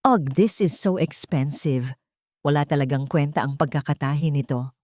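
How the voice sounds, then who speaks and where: A woman's voice: low voice, normal speed, darkish tone, no room sound, studio quality, natural voice. A woman voicing a snobbish, cruel, spoiled young rich woman in an audio story, affected and disdainful. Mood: annoyed.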